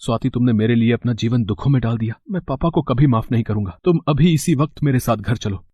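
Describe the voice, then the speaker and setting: A man with a husky voice voicing a devoted young husband in an animated story, speaking earnestly and with emotion. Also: medium pitch, quick pace, no echo, natural voice.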